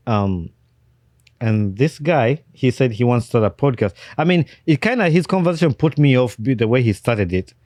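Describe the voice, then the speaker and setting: A man from Uganda, hosting a podcast and telling his own story in casual, animated chat. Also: medium pitch, no room sound, studio quality. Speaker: podcast host.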